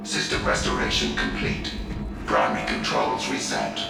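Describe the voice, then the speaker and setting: A man's voice: very deep voice, completely flat delivery, normal speed, vocal fry, very echoey, distant mic, loud music behind the voice. A man voicing a cold ancient machine spirit in a video game, giving clipped, emotionless, liturgical announcements through robotic processing. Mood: cold, procedural.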